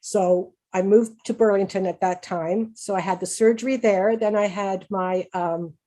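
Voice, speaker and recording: A woman's voice: mid-range voice, steady tone, moderate pace, warm tone. A woman in her sixties with a Canadian accent, giving a personal conversational presentation as a patient speaker, candid and informal. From Canada, raised in Hamilton, Ontario.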